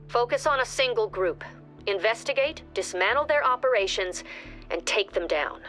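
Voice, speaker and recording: An actress voicing a calm, authoritative agency director giving businesslike mission briefings in a video game. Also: female voice, on the high side, moderate pace, faint music behind.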